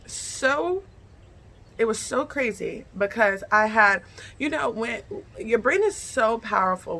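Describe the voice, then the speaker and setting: Woman vlogger, bright tone, casual first-person storyteller who talks directly to her audience. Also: mid-range voice. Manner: chatty, repeats phrases, addresses viewers directly.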